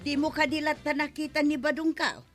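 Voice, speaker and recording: A woman's voice: high-pitched, steady tone, brisk, music in the background, natural voice. A woman playing a fretful villager in a comedy drama. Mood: anxious. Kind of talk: broadcast comedy drama acting.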